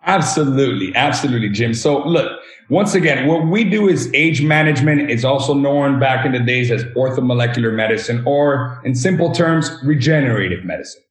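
A man's voice: mid-range voice, expressive, a little fast. A man in his thirties from Miami, explaining earnestly as an interview guest. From Miami, Florida; born in Venezuela. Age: 30s. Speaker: guest, age management practitioner. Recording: roomy, echoey sound.

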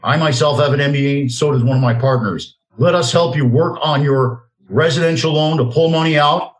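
A man's voice: fairly high pitch, a little fast. A man in his fifties hosting a talk radio show in a direct, self-assured, salesy way. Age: 50s. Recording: roomy, echoey sound.

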